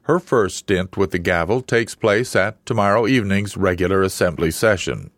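A man reading a scripted radio news report in a measured, even newsreader delivery. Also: low voice, no echo, studio quality.

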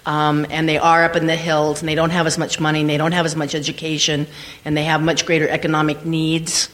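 A woman's voice: low-pitched, monotone, brisk, fairly bright tone. A woman sharing travel impressions in a casual group discussion, rambling and hesitant with many fillers. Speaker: trip panelist. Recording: reverberant hall.

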